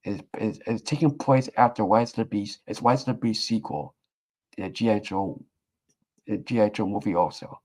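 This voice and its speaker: Casual male co-host, lowish voice, stop-start delivery, lots of repeats. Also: darkish tone. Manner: halting, repeats himself, full of fillers. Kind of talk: casual chat.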